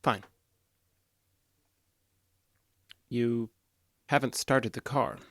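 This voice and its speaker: A restrained, dry-recorded, detached boyfriend. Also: male voice, medium pitch, slow, no echo. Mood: calm, detached. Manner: flat, hesitant, literal, restrained. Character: calm, emotionally detached man, awkward and earnest boyfriend. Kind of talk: naturalistic audio drama acting.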